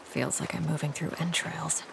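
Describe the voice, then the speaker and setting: An actress playing an analytical woman scientist in a video game, dictating field observations in a clinical, measured, observant way. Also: sits low, fairly even intonation. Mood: clinical, studious. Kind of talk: video game voice acting, scientific log narration. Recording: clear background noise, game ambience.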